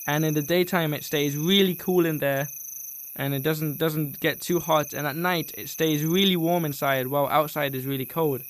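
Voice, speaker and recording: A man's voice: fairly high pitch, steady tone, moderate pace, slight background noise. A man recounting his journey in casual, earnest first-person storytelling, repeating words for emphasis.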